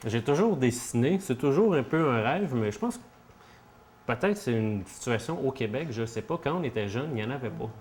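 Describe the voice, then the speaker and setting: A man with a Québécois accent, a guest comic artist, answers interview questions in a relaxed, hesitant way with many fillers. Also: mid-range voice, a little fast, a little hiss or hum.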